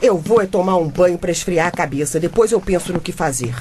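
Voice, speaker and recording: A woman's voice: on the low side, fast talker, darkish tone, a little hiss or hum, lightly acted. An actress with a Brazilian accent playing an indignant, crusading mother and newspaper reporter in a comedic radio drama, emphatic and dramatic. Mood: weary. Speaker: actor.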